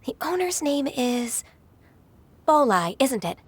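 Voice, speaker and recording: An actress playing a dutiful, modest secretary in a video game, formal, composed and deferential. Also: female voice, high voice, big pitch swings, slow pace, a little hiss or hum, full character voice. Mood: composed, helpful. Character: polite, modest, dutiful young-sounding woman secretary.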